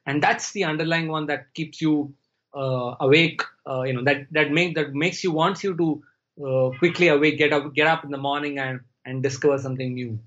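A man from India, a Tamil speaker, talking slowly and thoughtfully as a podcast guest over a remote call. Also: a little high, steady tone, a bit bright, highs cut off. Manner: slow, searching, thinks aloud. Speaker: guest storyteller. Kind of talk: reflective interview talk.

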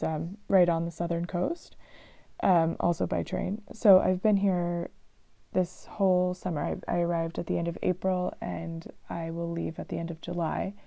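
A woman chatting casually in a travel vlog, rambling and relaxed, with frequent ums and restarts, on a dull, lo-fi recording. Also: on the low side, creaky, no echo. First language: English. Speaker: vlogger.

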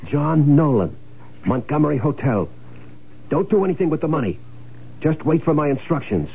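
A man's voice: a little high, animated, moderate pace, gravelly, husky, heavily acted. An actor playing a crooked, greedy, impatient man in an old-time radio drama, bossy and slangy. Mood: agitated, bossy. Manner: hard-boiled, bossy, slangy. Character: crooked, greedy, impatient man hiding out after faking his death. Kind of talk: old-time radio drama acting with first-person narration. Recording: muffled, narrow-band old radio broadcast with music.

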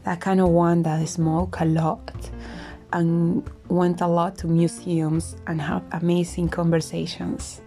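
Woman w/ a smoky husky after-flu voice, gravelly and mellow, chatty podcast talk. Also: flat delivery, slow, soft background music. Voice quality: smoky, low voice. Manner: reflective, chatty, with soft laughs and restarts. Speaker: podcast host. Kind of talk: casual solo podcast monologue.

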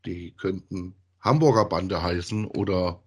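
A man's voice: low-pitched, measured pace, a little mellow, husky. A man chatting casually as a podcast host in a colloquial, rambling way, often ending phrases with tag questions. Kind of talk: casual conversational review of audio dramas.

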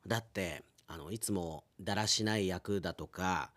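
A man's voice: deep voice, normal speed, fairly bright tone, dry recording. A man hosting a radio show, chatting casually and playfully like an announcer, with conversational asides.

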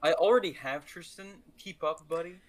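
A man's voice: on the high side, normal speed. A man co-hosting a podcast in casual, joking banter with a playfully teasing manner. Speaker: podcast co-host.

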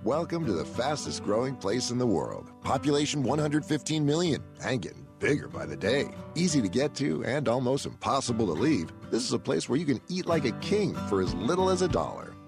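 Wry gravelly announcer over guitar music. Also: male voice, medium pitch, big pitch swings, fairly quick, few pauses. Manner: wry, mock-promotional. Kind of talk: scripted ad narration. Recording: music bed under voice.

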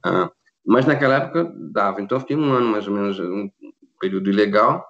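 A man's voice: on the low side, fast, fairly bright tone. A Brazilian man in his fifties with a Rio accent, chatting as a guest in a casual interview over a video call, rambling with many restarts and laughs. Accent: Rio de Janeiro Brazilian Portuguese. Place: at home in Australia. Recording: dull lo-fi video call audio.

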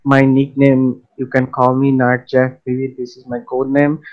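A young Bangladeshi man being interviewed on a casual podcast, his voice staying on a level, even pitch. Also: moderate pace, phone-quality sound. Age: young adult. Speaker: interview guest, freelance web developer.

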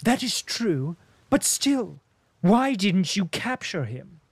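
A man acting out a witty, mocking diplomat in an audiobook, needling his guest with ironic emphasis. His voice sits high and the reading is unhurried. The sound is dry and close. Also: sing-song, slightly rough, dry recording, heavily acted. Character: sardonic witty male diplomat, mocking and urbane.

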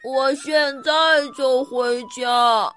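A woman's voice: sits high, steady tone, drawn-out words, a bit bright, faint music behind, heavily acted. A woman voicing a timid little boy bear who stammers and whimpers in fright, from a children's storybook. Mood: scared.

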